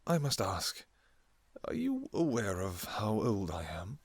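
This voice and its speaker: A man playing an ancient, devoted vampire king and doting father in an intimate romantic audio roleplay, speaking softly and haltingly with sentimental endearments. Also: fairly low pitch, wildly swooping pitch, breathy edge, no echo, full character voice. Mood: devoted, earnest. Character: tender, devoted two-thousand-year-old vampire king, doting father.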